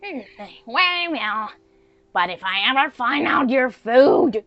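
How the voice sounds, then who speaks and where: A woman's voice: high voice, animated, slow, a bit bright, no echo, dull audio, faint music behind, voice pushed up, exaggerated voice. A woman voicing a proud, suspicious, menacing male tiger in a folktale.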